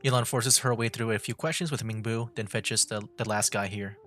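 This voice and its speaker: A man doing casual livestream game commentary, reading aloud in a halting way. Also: fairly low pitch, fairly even intonation, fairly bright tone. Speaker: gaming streamer. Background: game music.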